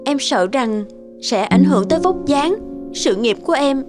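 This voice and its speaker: A woman's voice: high-pitched, animated, moderate pace. A woman playing a self-absorbed, persuasive actress wife in a cartoon, breezy and insistent. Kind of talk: Vietnamese cartoon voice acting. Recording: music behind the voice.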